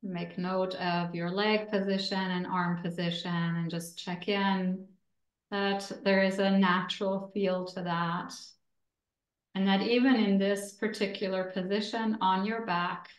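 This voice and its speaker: A woman instructor leading a guided yoga relaxation in a soothing, gentle, deliberate way with long pauses between phrases. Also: mid-range voice, fairly even intonation, echoey room.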